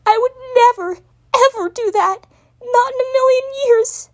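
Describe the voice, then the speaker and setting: A woman's voice: extremely high pitch, a little slow, voice pushed up. A woman voicing a female unicorn in a dramatic story reading, distressed yet reassuring, with a strained, tender delivery. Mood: earnest reassurance. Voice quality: strained, tearful.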